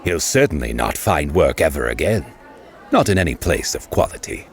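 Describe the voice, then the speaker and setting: An actor playing a haughty aristocratic lord in an audiobook, creaky-voiced, stiff and coolly condescending in his politeness. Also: a bass voice, very animated, moderate pace, some chatter behind, full character voice. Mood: haughty, mock pity. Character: haughty, cool aristocratic lord, host of a ball. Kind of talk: full-cast audiobook acting.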